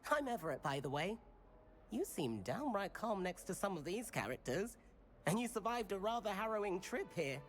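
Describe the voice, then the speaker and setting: A man's voice: sits high, sing-song, normal speed, fairly bright tone, slight background noise. A man playing a cheeky, easygoing teenage boy prankster at a wizard school in a game, playful and breezy. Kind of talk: scripted game dialogue.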